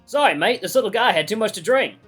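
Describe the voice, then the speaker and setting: A man's voice: sits high, expressive, fast talker, a bit bright, breathy edge, soft background music, pitched above the performer's own voice, heavily acted. A man voicing an easygoing animal-man character in a visual novel, with an attempted Australian accent and a blokey, concerned manner.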